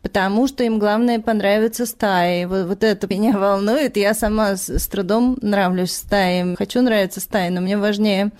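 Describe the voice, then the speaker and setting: A woman's voice: medium pitch, steady tone, normal speed, few pauses, darkish tone. Candid woman reflecting in conversation. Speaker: interviewed artist.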